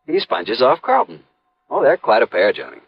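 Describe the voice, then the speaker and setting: A man's voice: medium pitch, wildly swooping pitch, moderate pace, gravelly, a little hiss or hum. An actor playing an emphatic, opinionated American insurance man in 1950s radio drama style, insistent and sure of his suspicions. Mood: scornful. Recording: dull, band-limited old radio recording.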